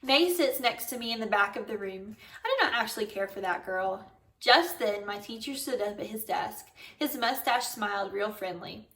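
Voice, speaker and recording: A woman's voice: medium pitch, animated, moderate pace, fairly bright tone. A woman reading a children's book aloud expressively as a storyteller. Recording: roomy echo, distant microphone.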